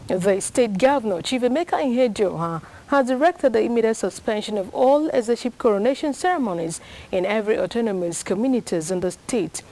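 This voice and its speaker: A woman's voice: medium pitch, a little mellow, rough recording. A woman with a Nigerian accent reading formal scripted television news as a presenter.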